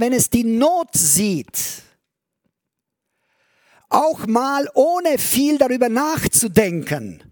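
A man with a high, light voice preaches an earnest sermon. He presses key phrases loudly, then lets his voice sink to a hush. The sound is close and dry, with almost no room around it. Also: no room sound. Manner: earnest preaching, swells loud on key phrases, drops to near whisper. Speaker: pastor.

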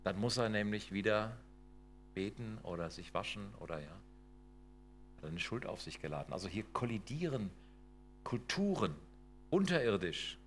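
A man's voice: fairly low pitch, big pitch swings, moderate pace, pauses often, a little hiss or hum. A middle-aged man giving a lecture, emphatic and full of rhetorical questions and tag questions. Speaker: lecturer on intercultural work. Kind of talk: lecture with slides.

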